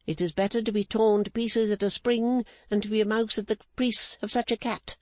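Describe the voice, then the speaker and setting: A man's voice: high-pitched, little pitch movement, darkish tone, no echo, pitched above the performer's own voice, natural voice. A man voicing a stern, resolute older woman who speaks firmly and defiantly. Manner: firm, measured, defiant.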